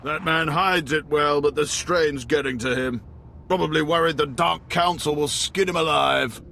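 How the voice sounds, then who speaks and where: High-pitched, theatrical male spy chief. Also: normal speed, vocal fry, bright, forceful tone, slight background noise. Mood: confiding. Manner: theatrical, effusive, gossipy. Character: excitable, wry male spy station chief, theatrically chatty. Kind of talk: video game voice acting.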